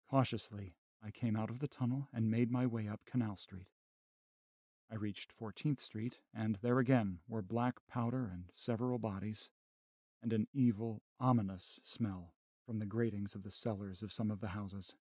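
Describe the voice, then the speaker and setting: A man playing a grave, educated survivor of an alien invasion in a radio drama, solemn and measured with literary phrasing. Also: medium pitch, darkish tone, no echo, clean studio sound, close to an everyday voice. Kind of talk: dramatic radio narration and acting.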